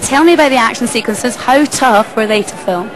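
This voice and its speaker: A woman's voice: fairly high pitch, brisk, rough recording. A woman interviewing stars at a premiere, chatty and gushing, asking leading questions. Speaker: red carpet interviewer. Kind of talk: red carpet interview. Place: outdoor premiere red carpet with cheering crowd. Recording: loud crowd behind.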